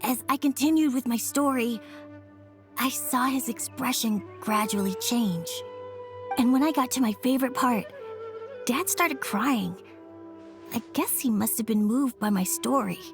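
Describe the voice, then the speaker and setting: Sweet little girl in a game, gentle n hesitant, highish voice, bit of music. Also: unhurried. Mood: nostalgic, earnest. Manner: childlike, hesitant, sincere. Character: earnest, gentle young daughter, a little girl storyteller. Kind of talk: video game voice acting.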